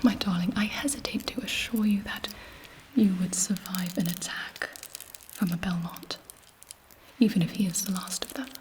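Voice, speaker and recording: A woman playing an imperious, scheming, seductive vampire queen in an intimate ASMR roleplay, speaking in a deliberate, haughty whisper. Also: medium pitch, a little mellow, lightly acted, poor audio. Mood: coaxing, condescending.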